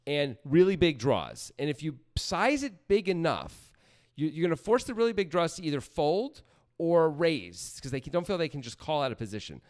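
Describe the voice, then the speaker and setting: Lively, higher-voiced man analyzing poker. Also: normal speed. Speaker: podcast co-host, poker analyst. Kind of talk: conversational analysis.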